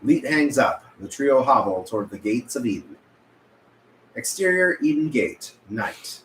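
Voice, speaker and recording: Man narrating a script in echoey room. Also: mid-range voice. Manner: stumbles over words and corrects himself. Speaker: stage-direction narrator. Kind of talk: script table-read narration. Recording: echoey room with background noise.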